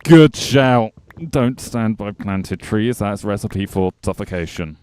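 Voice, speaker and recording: A man's voice: fairly low pitch, takes it slowly, a little mellow, slight background noise. A man casually commenting on his gameplay as a streamer, chatting to his viewers and joking with the animals in the game. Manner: chatty, jokey, talks to chat and game animals.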